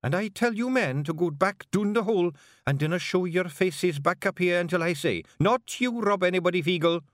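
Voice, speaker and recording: Man doing a female pixie clan leader, Scottish, sharp, commanding, high lively voice. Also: normal speed, no room sound, studio quality. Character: small stern female pixie clan matriarch. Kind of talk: audiobook character voice.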